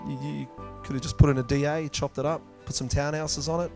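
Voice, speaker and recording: A man's voice: fairly high pitch, level tone, brisk, vocal fry, darkish tone, faint music behind. An Australian man hosting and interviewing, chatty and upbeat, prompting his guests. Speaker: interviewer and event host. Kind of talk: casual interview. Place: restaurant function room with music playing.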